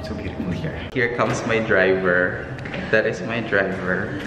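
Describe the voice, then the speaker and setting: A woman's voice: extremely low pitch, steady tone, measured pace. A woman from the Philippines, vlogging casually and chatting to the camera on a handheld phone. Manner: chatty, giggly, addresses the camera. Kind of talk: casual vlog narration. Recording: loud background noise, passing vehicles.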